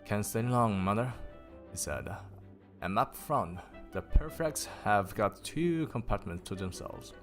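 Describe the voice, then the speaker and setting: A man streaming a casual story reading, raising his pitch for the characters and stopping to laugh and swear at typos. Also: animated, measured pace, soft background music. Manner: lifts pitch for quoted characters. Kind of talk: casual livestream book reading.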